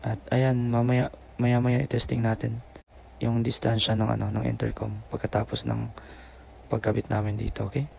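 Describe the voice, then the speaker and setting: A Filipino man narrates a casual review in a subdued, gravelly voice with fairly even intonation. He keeps his volume down, and a faint noise sits behind him. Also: medium pitch, normal speed. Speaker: product reviewer. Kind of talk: casual voice-over review mixing Tagalog and English. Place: boarding house room, voice-over.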